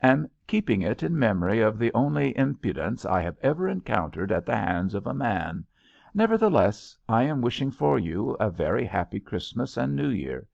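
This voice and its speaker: A man's voice: lowish voice, sing-song, moderate pace, gravelly, a little mellow, dry recording, full character voice. Male reader as a pert young woman in an audiobook: prim, playfully formal, swooping intonation, dry and slightly muffled sound. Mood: prim, teasing. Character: young woman writing a pert, teasing Christmas note.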